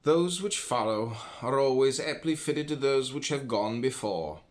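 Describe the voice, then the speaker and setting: A man reads philosophy aloud as a narrator, phrasing it deliberately and emphatically with pauses. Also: medium pitch, animated. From United States. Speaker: audiobook reader.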